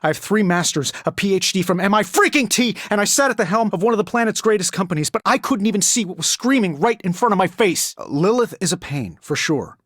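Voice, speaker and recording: Wisecracking rich genius superhero dude for a game, american, super animated, sarcastic and sure of himself, close dry sound. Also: male voice, high-pitched, fast talker, few pauses, no echo. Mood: cocky, wry, then casual, wry. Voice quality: American accent. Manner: glib, sarcastic, self-assured. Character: cocky, wisecracking billionaire genius superhero in powered armor. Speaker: video game voice actor. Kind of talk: scripted game character dialogue.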